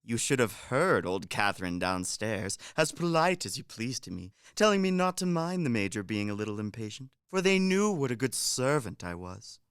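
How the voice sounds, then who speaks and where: A man's voice: mid-range voice, big pitch swings, moderate pace, few pauses, a bit bright, smooth, dry recording, clean studio sound. A man in a radio play acting a shrewd, self-important manservant who coaxes and lectures with emphasis. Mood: confiding, coaxing. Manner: wheedling, instructing, emphatic.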